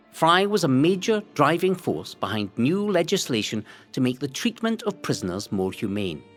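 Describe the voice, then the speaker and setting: A man reading a scripted history narration for an audio guide in a measured, theatrical, emphatic manner. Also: mid-range voice. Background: music.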